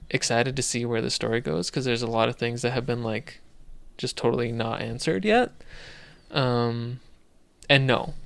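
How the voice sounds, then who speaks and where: A man's voice: mid-range voice, creaky, fairly bright tone, smooth, no room sound. A man co-hosting a casual podcast, chatting loosely with many fillers and restarts. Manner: rambling, lots of fillers and restarts. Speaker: podcast co-host.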